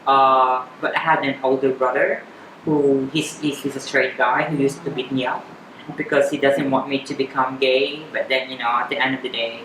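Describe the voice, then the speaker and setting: A woman in her thirties with a Filipino accent, chatting candidly as an interview guest, often halting and repeating words. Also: a bass voice, fairly even intonation. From Philippines. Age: 30s. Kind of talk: personal interview chat. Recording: echoey room with clear background noise.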